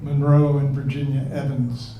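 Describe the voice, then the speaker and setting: An older man from New England, talking haltingly and restarting as an interview guest over a call. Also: medium pitch, level tone, dull audio. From New England, United States. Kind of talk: casual remote interview. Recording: roomy, distant, faint hiss.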